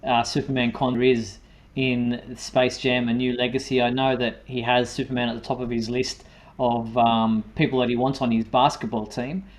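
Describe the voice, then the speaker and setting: A man presents entertainment news as a show host. His voice is medium-pitched, and he talks conversationally with a halting delivery. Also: little pitch movement. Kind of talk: semi-scripted fan news presenting.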